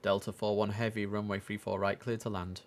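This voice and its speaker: Low-voiced young man streaming a game. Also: little pitch movement, fairly quick. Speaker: livestreamer playing an air traffic controller. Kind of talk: casual gaming commentary mixed with clipped radio phraseology. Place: indoor home streaming setup. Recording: noisy room with keyboard clicks.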